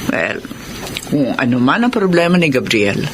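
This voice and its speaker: Expressive, caring Filipina mother. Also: very deep voice, measured pace, full character voice. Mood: concerned. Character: caring, concerned middle-aged mother of a grown daughter. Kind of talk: Filipino radio drama acting. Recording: noisy radio drama with ambience.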